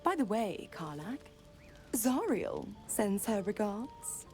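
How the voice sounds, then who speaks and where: Slow, swooping, taunting devil woman. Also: medium pitch, very animated, a little mellow, faint music behind. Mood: smug, menacing. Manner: mocking, theatrical, condescending. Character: taunting devil woman, a smug infernal patron. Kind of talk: dramatic video game voice acting.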